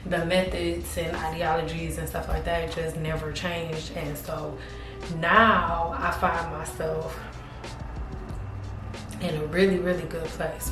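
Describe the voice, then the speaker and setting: A woman's voice: fairly low pitch, echoey room, far from the mic, poor audio. A woman in her thirties talking casually to her camera, chatty and rambling with lots of fillers. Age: 30s. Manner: chatty, repeats herself, frequent fillers. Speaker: vlogger.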